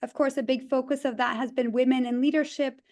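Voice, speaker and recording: A high-pitched woman from the Netherlands introduces herself on a video call. She works as a leadership consultant. She sounds friendly and chatty, with frequent fillers. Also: steady tone, a little fast, a little mellow. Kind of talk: self-introduction on a webinar.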